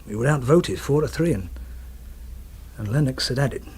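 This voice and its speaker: Husky, halting ex-soldier. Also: male voice, mid-range voice, darkish tone. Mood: grim, halting. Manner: halting, wry, understated storytelling. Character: former soldier grimly recounting a wartime killing. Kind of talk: radio drama dialogue.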